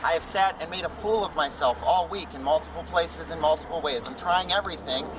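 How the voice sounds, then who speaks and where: Angry, rambling man in a street argument, high voice, distant bodycam audio. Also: brisk, noisy background. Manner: agitated, rambling, talks over others. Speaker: arrested member of the public. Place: outdoors by a building on a street.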